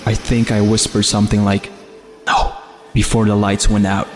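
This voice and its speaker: A man's voice: fairly low pitch, completely flat delivery, moderate pace. A man reading a scripted first-person horror story as its narrator. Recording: long reverb, faint music behind. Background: faint music.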